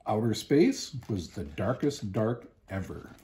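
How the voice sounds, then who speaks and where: A man, reading a children's picture book aloud expressively, with deliberate, emphatic pauses. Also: on the low side, sing-song, mellow, a little hiss or hum. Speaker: storybook reader. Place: home living room, indoors.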